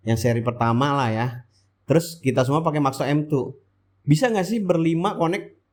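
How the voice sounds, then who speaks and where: A man with a Jakarta-area Indonesian accent giving a casual, rambling motovlog voice-over, often asking and answering his own questions. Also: fairly high pitch. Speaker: motovlog host. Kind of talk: casual vlog voice-over, chatty and informal.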